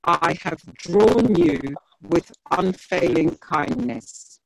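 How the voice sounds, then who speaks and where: Soft, slow woman reading scripture. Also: fairly low pitch, lively intonation, creaky, no room sound, rough recording. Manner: soft-spoken, reads slowly and gently. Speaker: Bible reader in an online study group. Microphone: video call.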